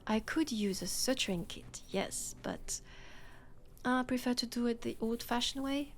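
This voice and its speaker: A woman with a French accent playing a worried, exasperated female police colleague and roommate in an intimate sci-fi audio roleplay, speaking softly and hesitantly. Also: a little high, a little slow. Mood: matter-of-fact, coaxing.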